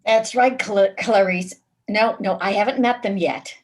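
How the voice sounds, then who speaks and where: A woman in a staged reading, playing a prim, politely disapproving suburban housewife with deliberate phrasing. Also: medium pitch, slight background noise, lightly acted. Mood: prim, defensive. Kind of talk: staged reading of a satirical play. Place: video call.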